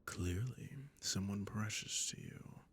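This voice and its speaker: A man playing a sadistic, possessive vampire lord in intimate roleplay audio, with a breathy, gravelly near-whisper and silky, mock-courteous, condescending endearments. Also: on the low side, fairly even intonation, slow, a bit bright.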